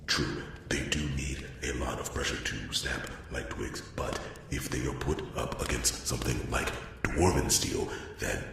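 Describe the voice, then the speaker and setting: A man playing a chatty, bashful dwarf blacksmith in an ASMR roleplay, rambling and stammering as he corrects himself in a gravelly voice. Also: a little slow, exaggerated voice. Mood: proud, indignant. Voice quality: very deep, gravelly. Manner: rambling, stammering, flustered repetitions and self-corrections. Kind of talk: ASMR roleplay character monologue. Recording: very echoey room, distant, faint noise.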